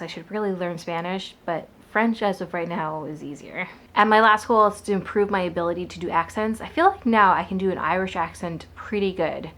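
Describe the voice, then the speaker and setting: A woman's voice: fairly low pitch, a bit bright. A young woman from the Boston area, a book vlogger chatting casually to camera, rambling with lots of asides.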